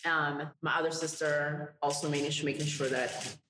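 A woman's voice: low-pitched, steady tone, normal speed. A woman in her thirties, born in Ethiopia and raised in Harlem, telling her story as a podcast guest in a hesitant, reflective way with many fillers. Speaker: guest, school leader. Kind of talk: podcast interview. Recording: echoey room with clear background noise.

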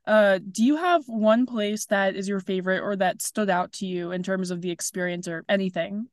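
A woman's voice: mid-range voice, moderate pace, no echo, studio quality. A young woman hosting an interview, asking questions and giving encouraging replies like "wow" and "very nice.". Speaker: podcast host.

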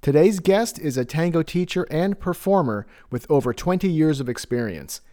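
A man's voice: on the high side, lively intonation, normal speed, dark tone. A man hosting a podcast, reading a scripted guest introduction and asking interview questions. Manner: scripted introduction, then brief backchannels. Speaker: podcast host.

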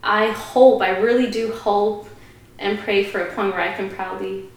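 A woman's voice: mid-range voice, steady tone, a little slow. A woman speaking as a podcast guest, giving earnest, reflective opinions in conversation. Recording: very echoey room.

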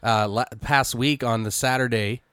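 A man's voice: mid-range voice, steady tone, normal speed, fairly bright tone, smooth, dry recording, studio quality. A man co-hosting a casual movie podcast, joking and swearing casually. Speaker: podcast co-host. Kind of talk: casual chat.

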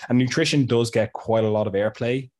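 A man's voice: medium pitch, a little fast, darkish tone. A man co-hosting a podcast, talking casually with a blocked nose that makes him sound nasal. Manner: chatty, restarts, frequent "you know" and "like". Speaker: podcast co-host.

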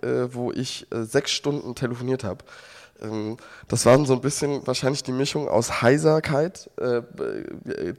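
A man with a cold, hoarse, joking and hesitant with lots of fillers while co-hosting a casual podcast over a call. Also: mid-range voice. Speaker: podcast co-host. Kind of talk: casual phone chat. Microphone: phone call.

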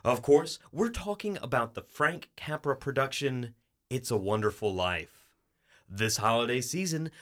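Lively-pitched male radio host. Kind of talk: scripted introduction and interview hosting. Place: radio studio.

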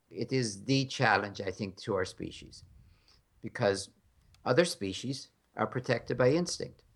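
American man, gravelly voice, frank and emphatic, interview guest. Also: lowish voice, fairly bright tone. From United States. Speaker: guest scholar. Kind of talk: conversational interview.

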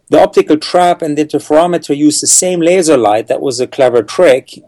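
A man's voice: medium pitch, darkish tone, no echo. A middle-aged man from the Czech Republic, a scientist answering interview questions thoughtfully, with many fillers and repeated words. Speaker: neuroscientist guest.

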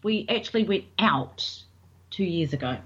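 A woman from New Zealand with a New Zealand accent, a singer chatting in an interview, filling pauses with um. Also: fairly low pitch, animated, a bit bright, slight background noise. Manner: chatty, fills with um, quotes others. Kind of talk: casual interview answers. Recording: dull lo-fi audio.

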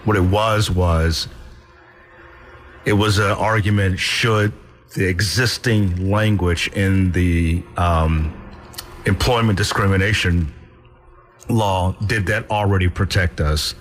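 Low-voiced opinionated co-host man. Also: fairly even intonation, slow pace. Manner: opinionated, explains with restarts. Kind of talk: casual talk show conversation.

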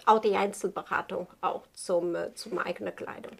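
A Portuguese woman in her fifties with a Portuguese accent, answering interview questions about her craft haltingly, searching for words in a language not native to her. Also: fairly low pitch, fairly even intonation, vocal fry, husky. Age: 50s. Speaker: tailor being interviewed.

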